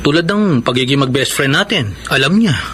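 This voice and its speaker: An actor with a Filipino accent playing a concerned, slightly jealous best friend in a radio drama. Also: male voice, mid-range voice, lively intonation, normal speed, a bit bright, a little hiss or hum, lightly acted. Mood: reproachful concern.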